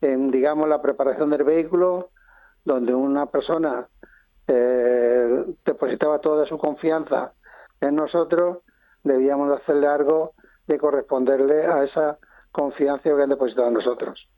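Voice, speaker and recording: An older man from Madrid, a businessman being interviewed on the radio, talking in a halting way with many pauses and restarts. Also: fairly high pitch, steady tone, no room sound. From Spain (Madrid). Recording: dry, phone-line sound.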